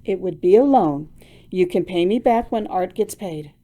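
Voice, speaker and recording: Woman reading a gentle, generous older mother, reassuring tone. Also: fairly low pitch, lively intonation, normal speed, natural voice. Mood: kindly.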